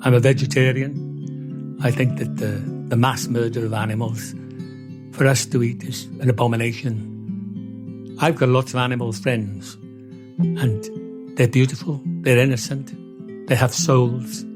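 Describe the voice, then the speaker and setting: A man's voice: mid-range voice, fairly even intonation, measured pace, pauses often, loud music behind the voice. A man, a performer giving a spoken introduction in an earnest, emphatic, repetitive way.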